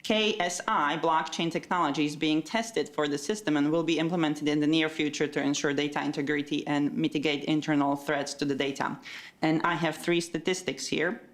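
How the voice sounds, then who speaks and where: A woman's voice: low-pitched, fairly even intonation, brisk. A woman from Slovakia, an audience member who speaks directly and reads a passage aloud before her question.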